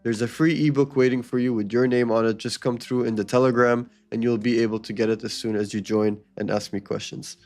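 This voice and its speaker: A man's voice: medium pitch, fairly even intonation, fairly quick, hardly any pauses, a little mellow, soft background music. A man hosting a live trading stream, explaining charts and answering chat in a casual coaching way, often checking in with "okay?". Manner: reads viewer comments aloud and answers them. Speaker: livestream trading host.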